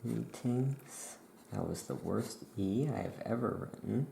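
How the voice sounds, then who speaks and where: A soft-spoken ASMR host murmuring thoughts aloud in halting short phrases, close to the microphone, while writing. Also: mid-range voice, vocal fry, a little hiss or hum. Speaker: ASMR host playing a witch shopkeeper.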